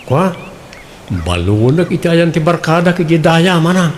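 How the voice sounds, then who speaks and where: Radio drama son, played by a man: young adult, high voice, defensive and pleading, colloquial, faint noise behind. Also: lively intonation, moderate pace, lightly acted. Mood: casual, wheedling. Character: evasive, wheedling young adult son of the household. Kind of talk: radio drama comedy acting.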